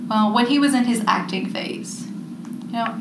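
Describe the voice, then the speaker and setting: A woman's voice: on the high side, moderate pace. Chatty young woman podcast co-host. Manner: chatty, rambling, lots of fillers. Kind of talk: casual chat. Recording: roomy echo with background noise.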